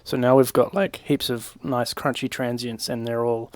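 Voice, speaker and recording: A young man giving a casual, informal software tutorial, talking through each step in a laid-back, slangy way at a desk microphone. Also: mid-range voice, level tone, normal speed, dry recording. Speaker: music production tutorial presenter. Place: small home room, indoors. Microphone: desk microphone on a boom arm.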